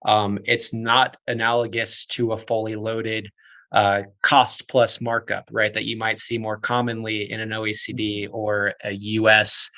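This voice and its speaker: A man's voice: fairly low pitch, completely flat delivery, a little slow, sharp tone. A man hosting a podcast interview, talking conversationally and checking in with "right?" and "you know". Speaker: podcast host.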